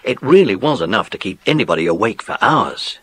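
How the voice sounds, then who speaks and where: A British man narrating a dramatised children's story in an expressive storytelling manner. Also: on the low side, fairly quick, breathy edge. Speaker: audiobook narrator.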